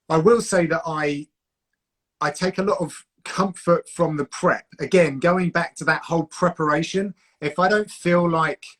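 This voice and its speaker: A man's voice: on the high side, a bit bright. A man with a southern English accent hosting a casual live stream, giving reflective advice on broadcasting and often restarting his sentences. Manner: reflective, coaching, with frequent restarts. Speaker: host, combat sports commentator.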